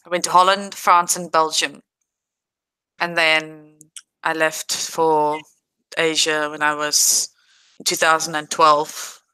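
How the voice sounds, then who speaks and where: A woman's voice: sits low, flat delivery, slow, pauses often, bright, forceful tone, clean tone, no room sound, rough recording. A woman with a South African accent chatting casually on a livestream, laughing easily and answering viewers directly. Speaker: livestream host. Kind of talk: casual livestream chat reading viewer comments.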